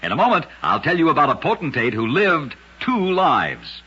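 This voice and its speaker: A man narrates like an old-time radio host, with a very husky, rough-edged voice that still cuts through brightly. His scripted delivery is dramatic and lively in pitch. The recording is dull and lo-fi, like an old broadcast. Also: moderate pace. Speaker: host and storyteller of a radio show.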